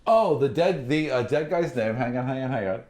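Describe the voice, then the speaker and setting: A man's voice: mid-range voice, lively intonation, a little fast, darkish tone. A man working as game master, narrating a tabletop game in a descriptive, conversational way and thinking aloud as he goes.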